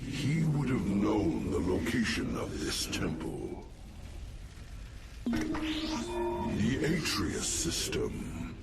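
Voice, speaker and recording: Slow deep alien warrior elder from a game, super solemn, loud music behind him. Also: male voice, huge pitch swings, gravelly, exaggerated voice. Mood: grave, urgent. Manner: solemn, portentous, formal. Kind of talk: video game voice acting.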